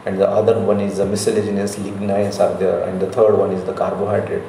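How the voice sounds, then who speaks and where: A middle-aged man with an Indian accent giving a slide lecture as a college professor, speaking in halting, repetitive phrases. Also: deep voice, completely flat delivery, gravelly, warm tone. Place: indoor room, recorded lecture. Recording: very echoey room, faint noise.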